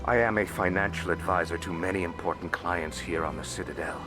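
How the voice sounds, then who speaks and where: A man's voice: low-pitched, brisk, natural voice. A man playing a shrewd, courteous alien financier in a video game, speaking formally and discreetly over music. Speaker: financial advisor and information dealer character. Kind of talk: video game dialogue acting. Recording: music behind the voice.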